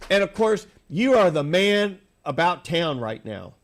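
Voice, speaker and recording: A man's voice: high voice, sing-song, unhurried, slight background noise. A man hosting a radio talk show, a bit nasal from allergies, asking long rambling questions in a casual, upbeat way. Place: radio studio.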